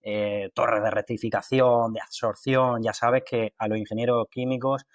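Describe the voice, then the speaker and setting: A man from Málaga with an Andalusian accent talks as an interview guest, starting over often and filling gaps with hesitations. His voice sits at an ordinary middle pitch. The recording is dry and close, with little room sound. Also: level tone, dry recording. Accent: Andalusian Spanish from Málaga. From Málaga, Spain. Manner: halting, with many fillers and restarts. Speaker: guest, water industry engineer. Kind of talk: conversational interview.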